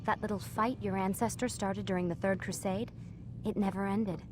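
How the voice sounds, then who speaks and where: A woman's voice: mid-range voice, steady tone, normal speed, noisy background, close to an everyday voice. A woman playing an earnest, guarded young lab assistant in a video game, explaining seriously in a conversational way. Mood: confiding. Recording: music under the voice.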